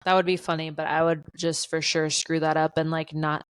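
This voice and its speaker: Young woman podcast co-host, monotone, lowish voice, dry close sound. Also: brisk, clean tone, no echo. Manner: flat, monotone delivery. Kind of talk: casual chat.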